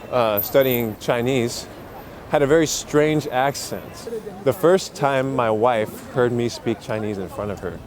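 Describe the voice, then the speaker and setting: A man talking casually as he walks and explains things as an English teacher, full of uh and you know. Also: medium pitch. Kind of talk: casual walking vlog. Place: busy city streets outdoors. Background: faint street and traffic noise.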